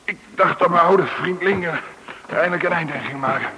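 Halting, weakened male captive played by an actor. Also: on the high side, close to an everyday voice. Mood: weary, relieved. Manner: halting, stammering. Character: weakened, breathless freed male captive, shaken but grateful. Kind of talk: Dutch radio drama acting. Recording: noisy old radio drama recording.

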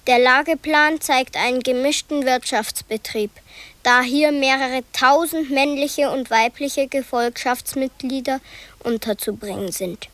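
A woman slowly and deliberately reading old planning texts in a documentary radio drama. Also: sits high, steady tone, fairly bright tone, no room sound. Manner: slow, deliberate reading of names and plans.

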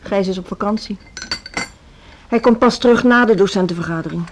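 An actress playing a seasoned, resigned music school headmistress in a radio drama, composed and patronising. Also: female voice, medium pitch, fairly quick, slight background noise, natural voice. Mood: conciliatory. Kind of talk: Dutch social radio drama acting.

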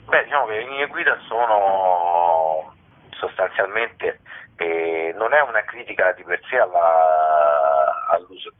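A man answering interview questions as a documentary director, explaining in a halting way, searching for words. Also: deep voice. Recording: thin, telephone-like radio line.

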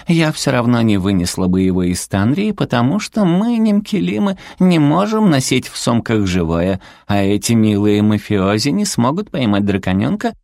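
Guy doing a cutesy little animal villain lady, squeaky high, super polite and gushy, storybook style. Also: vocal fry, a little mellow, no echo, studio quality. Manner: gushing, sugary politeness. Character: cute small female furry villain, sweetly polite and pouty.

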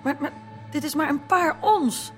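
An actress playing an eager, chatty young hippie dealer in a radio drama, informal and full of exclamations. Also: female voice, high voice, unhurried, soft background music. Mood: anxious, disappointed. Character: eager, chatty young hippie woman selling soft drugs.

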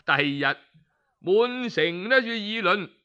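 A man with a Cantonese accent narrating a traditional story in a colloquial, expressive storytelling style. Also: sits high, measured pace, smooth. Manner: colloquial, expressive, with sighs and exclamations. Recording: slightly dull, lo-fi audio.

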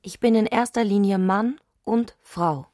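A woman's voice: medium pitch, measured pace, a little mellow, dry recording, clean studio sound, lightly acted. A woman playing a fervent, eccentric woman in a literary radio drama, insistent and declarative. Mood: matter-of-fact. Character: Clarisse, fervent, eccentric woman obsessed with madness.

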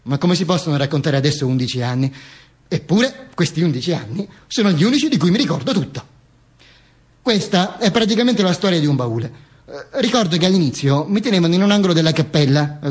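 Radio theatre: high-pitched dead dictator narrating his own afterlife played by a man; wry, mock-solemn, halting, repetitive. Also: breathy edge. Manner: stammering, repetitive, self-correcting, mock-solemn. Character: Mussolini's talking corpse, wry, pompous, rambling storyteller. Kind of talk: theatrical monologue.

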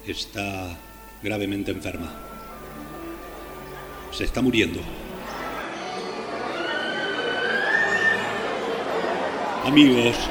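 An actor playing a grave, solemn messenger and sage of an imperial tower in a fantasy radio drama. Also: male voice, lowish voice, natural voice. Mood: grave announcing. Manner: solemn, deliberate, portentous. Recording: radio play with music underneath.

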